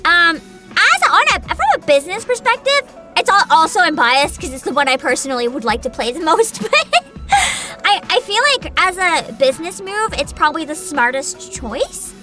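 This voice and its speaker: A woman hosting a livestream, chatting casually with viewers in an excitable, bubbly, giggly manner. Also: very high-pitched, normal speed, music in the background. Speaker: livestreamer host.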